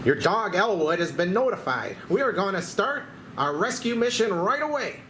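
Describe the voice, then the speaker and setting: Man as a brisk sea captain, animated pitch, incredulous, table-read parody, echoey and noisy. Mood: brisk, official. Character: brisk, incredulous male Coast Guard captain. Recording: echoey room with background noise.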